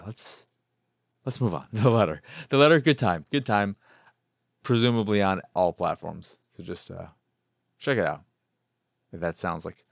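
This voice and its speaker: A man's voice: low voice, vocal fry, dry recording, clean studio sound. An American man from Chicago hosting a game podcast, talking casually in a rambling, sweary, self-amused way. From Chicago, United States. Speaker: video game podcast host. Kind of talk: casual solo game review talk.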